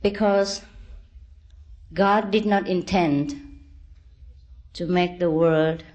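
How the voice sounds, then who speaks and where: Slow-speaking woman giving a spiritual discourse. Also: lowish voice, steady tone. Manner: deliberate, halting phrasing with gentle reassurance. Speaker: spiritual teacher.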